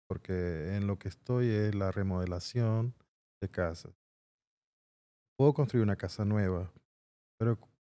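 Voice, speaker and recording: Low-voiced Peruvian-born man, mellow and thoughtful. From Peru. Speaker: guest, construction entrepreneur. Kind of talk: casual interview conversation.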